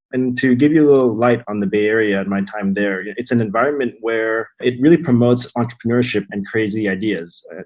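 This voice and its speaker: A man from Thailand, a company co-founder and CEO, giving reflective, measured interview answers. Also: on the low side, darkish tone, poor audio.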